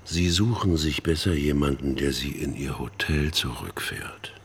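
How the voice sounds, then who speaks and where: An actor playing a sinister, smug older man in a radio play, speaking in a raspy voice with a deliberate, insinuating, condescending manner. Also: a bass voice, heavily acted. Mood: dismissive. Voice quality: husky, raspy. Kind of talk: radio drama dialogue.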